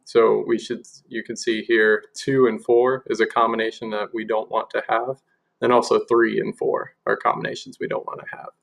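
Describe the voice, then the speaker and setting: A man giving an informal screen-share software demonstration, explaining things step by step. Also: fairly low pitch, little pitch movement, moderate pace, gravelly.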